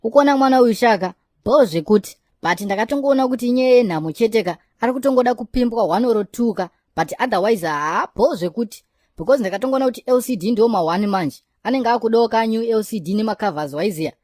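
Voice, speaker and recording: A woman's voice: on the high side, animated, quick pace, a little mellow, no echo, clean studio sound. A bragging young womanizer. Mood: boastful. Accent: Zimbabwean. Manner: bragging, mixing in English phrases. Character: boastful young man chasing women. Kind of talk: cartoon voice acting.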